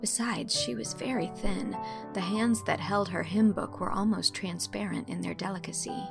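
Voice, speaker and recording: A woman narrates a classic novel in an expressive storyteller's style. Her medium-pitched voice has a noticeable creak, and music plays clearly beneath it. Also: normal speed. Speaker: audiobook narrator. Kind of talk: expressive scripted literary narration.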